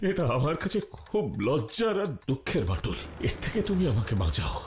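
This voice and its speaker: A man's voice: a little high, wildly swooping pitch, a little fast. A man voicing an agitated, pleading scientist in a cartoon, often repeating himself. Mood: distressed, pleading. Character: distressed, pleading male missile scientist. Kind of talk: cartoon voice acting. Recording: loud music behind the voice.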